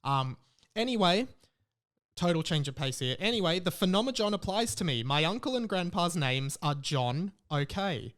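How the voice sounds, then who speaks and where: A man's voice: high-pitched, big pitch swings, moderate pace, a bit bright. An Australian man hosting a podcast in a casual, rambling way, reading out messages and breaking off for his own asides. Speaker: podcast host.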